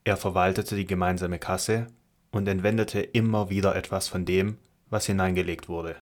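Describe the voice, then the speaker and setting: A man narrating a scripted Bible audio drama in a measured, solemn storytelling manner. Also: sits low, steady tone.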